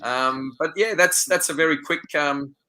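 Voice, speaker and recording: A man's voice: on the high side, unhurried, bright, forceful tone, rough recording. A middle-aged Australian man, a wildlife photographer, talking over a video call in an upbeat, chatty way. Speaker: wildlife photographer and guest expert. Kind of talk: casual interview talk.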